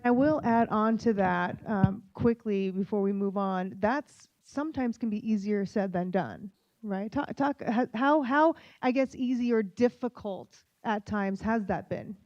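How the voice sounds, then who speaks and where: A woman's voice: mid-range voice, measured pace, a little mellow. A woman moderating a panel discussion, guiding the talk with friendly questions. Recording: muffled lo-fi event recording.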